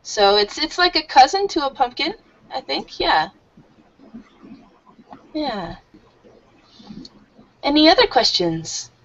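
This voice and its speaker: Friendly English teacher woman, higher voice. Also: sing-song, a bit bright, muffled recording. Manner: friendly, explains slowly with fillers. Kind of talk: online class teaching.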